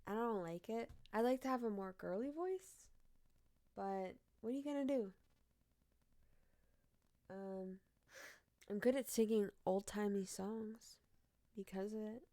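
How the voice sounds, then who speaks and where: A young woman with a deep-sounding voice, chatting casually on a live stream as she reads odd news headlines, blunt and swearing with frequent laughs. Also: warm tone.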